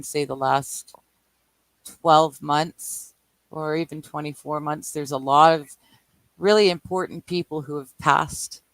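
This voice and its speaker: A guest on a Discord call with a mid-pitched voice, chatty and hedging. They laugh often and keep the talk loose. The line sounds dry and close. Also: fairly bright tone, dry recording. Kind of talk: casual online chat. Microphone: remote call over Discord.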